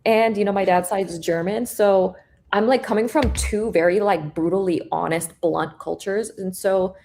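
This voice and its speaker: A woman's voice: medium pitch, normal speed, creaky, warm tone. A young woman whose first language is Korean, talking bluntly and casually with lots of fillers and swearing on a live stream. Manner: blunt, profane, filler-heavy, laughs often. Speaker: guest trader. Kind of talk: casual chat.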